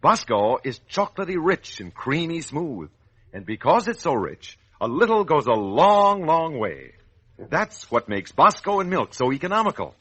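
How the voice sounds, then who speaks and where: A man's voice: fairly high pitch, big pitch swings, moderate pace, a bit bright, slightly rough. A man announcing and narrating in a scripted, emphatic 1940s children's radio style. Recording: old radio broadcast recording.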